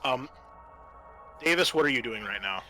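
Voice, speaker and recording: A man acting as game master of a tabletop roleplaying game, talking in a halting, conversational and accommodating way. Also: mid-range voice, lively intonation, sharp tone, faint music behind.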